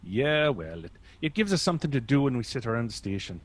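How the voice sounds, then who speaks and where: A man's voice: medium pitch, big pitch swings, fast talker. A man acting in a radio play as an affable, plain-spoken police officer with a casual, rambling manner. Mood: affable, easygoing.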